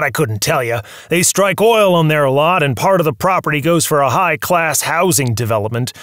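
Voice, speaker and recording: A man doing a bitter, aging movie mogul in an audiobook, ranting and sneering about everyone out to ruin him. The voice is raised and lifted higher than a plain reading, and the sound is close and dry with no room around it. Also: fairly bright tone, no echo. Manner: ranting, sneering, self-pitying. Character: aging furious male movie tycoon, paranoid and bitter. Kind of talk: audiobook character voice.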